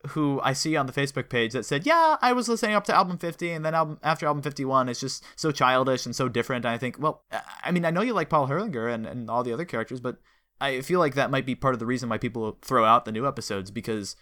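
Chatty male interviewer with a mid-pitched voice. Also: lively intonation, quick pace, pushed and bright. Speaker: podcast host interviewing a guest. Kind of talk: casual fan discussion interview.

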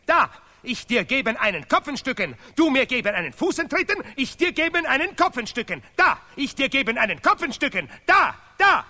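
An actor playing a vain, touchy Nobel laureate artist in a stylized radio play, declaiming emphatically in deliberately broken, repetitive German. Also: male voice, extremely high pitch, sing-song, bright, forceful tone, exaggerated voice. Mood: quarrelsome, heated. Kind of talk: stylized avant-garde radio play acting.

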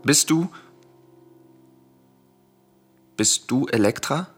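An actor playing a secretive, protective exiled prince in a solemn radio tragedy, speaking gravely and with urgency. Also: male voice, mid-range voice, expressive, moderate pace. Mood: shaken pity. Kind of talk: solemn radio drama acting of verse tragedy. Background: music.

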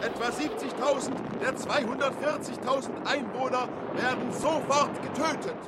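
A male narrator reading in a scripted documentary manner. His voice is very high for a man. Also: moderate pace, heavy background noise.

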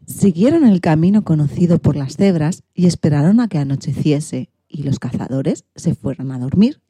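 A woman narrating a children's radio story in a deliberate, expressive storytelling manner. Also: low voice, slightly rough, no room sound.

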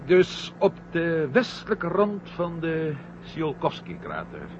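Casting: astronaut commander, cautious and in charge, high male voice, dull vintage radio-drama sound. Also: huge pitch swings, slow, vocal fry, exaggerated voice. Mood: confused, uneasy. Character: male moon-mission astronaut commander, firm, cautious, sometimes confused. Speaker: actor in a radio play. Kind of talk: 1970 Dutch science fiction radio drama acting. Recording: muffled, noisy old radio play recording.

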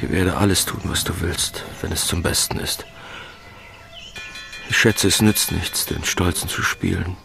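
An actor playing a plainspoken, devoted working-class lover in a radio play, terse, subdued and understated. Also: male voice, low voice, steady tone, measured pace, creaky, background noise, heavily acted. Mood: resigned, devoted.

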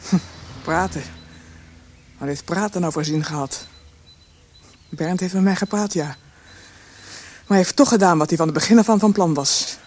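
A woman's voice: fairly low pitch, normal speed, long pauses, darkish tone, close to an everyday voice. An actress playing the wary, bitter sister of a wanted man in a radio drama. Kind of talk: Dutch radio drama acting.

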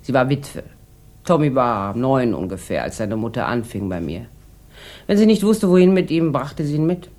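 An actress playing a jaded, hard-drinking, sharp-tongued woman in a 1960s German radio crime drama, with an ironic, languid manner. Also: extremely low pitch, big pitch swings, normal speed. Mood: wry, reminiscing.

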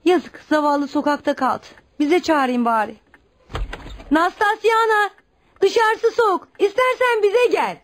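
Anxious mother, high voice. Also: measured pace. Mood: dismayed, pitying. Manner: fretful, caring. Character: anxious, kindly mother and neighbour. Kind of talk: Turkish radio theatre acting.

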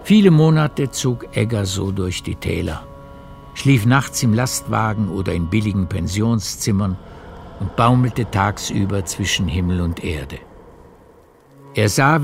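A man narrates a literary radio drama with measured storytelling. His voice is low with a gravelly creak, and soft music sits faintly behind it.

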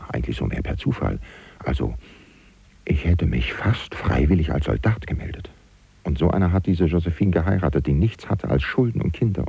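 An actor playing a catty, gossipy French manservant, confiding to the listener in a gravelly, husky voice in a German radio play. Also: male voice, very deep voice, mellow, dry recording, lo-fi sound, full character voice. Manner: chatty, insinuating, mock-scandalized.

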